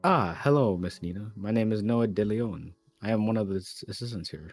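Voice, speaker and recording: Visual novel role: courteous male assistant acted by a man, reassuring, low husky voice. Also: fairly quick, a little mellow, faint music behind. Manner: courteous, soothing. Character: polite, reassuring male detective agency assistant.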